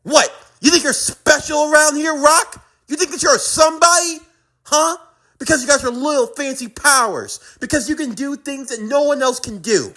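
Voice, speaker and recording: A woman's voice: mid-range voice, big pitch swings, a little slow, gravelly, fairly bright tone, breathy edge, exaggerated voice. A woman playing a hot-tempered young female outlaw gunslinger in an intense anime-style drama, brash, profane and threatening. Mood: sneering, provoked.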